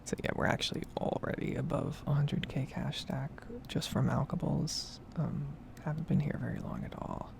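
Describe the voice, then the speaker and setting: A woman softly whispering a casual, rambling ASMR commentary over a game. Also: low voice, steady tone, moderate pace, creaky, hardly any pauses, noisy background. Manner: soft-spoken, rambling, casual asides. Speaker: gaming video creator.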